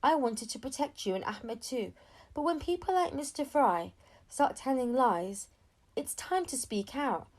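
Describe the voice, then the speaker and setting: A woman's voice: high voice, expressive, a little slow. A woman voicing a loving, decisive mother talking to her young child in a storybook. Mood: earnest, encouraging.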